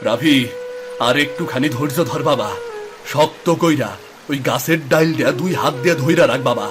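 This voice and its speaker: A man's voice: a little high, moderate pace, background noise, natural voice. A man playing a protective village father with a rural Bangladeshi accent in a dramatized moral story. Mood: urgent, reassuring.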